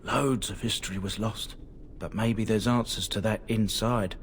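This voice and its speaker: A man's voice: lowish voice, steady tone, normal speed, a little hiss or hum, lightly acted. An actor playing a garrulous, uneasy male guide in a fantasy game, talking informally. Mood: wistful, chatty. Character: chatty, uneasy male merchant guide, history buff. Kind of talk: fantasy video game dialogue.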